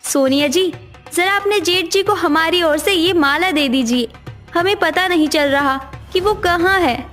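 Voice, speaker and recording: An eager village woman voiced by an actress, loyal and supportive, from a cartoon story. Her voice is extremely high, and soft music plays behind her. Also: measured pace. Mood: hopeful. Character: loyal female villager cheering a candidate on. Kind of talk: Hindi cartoon story dialogue.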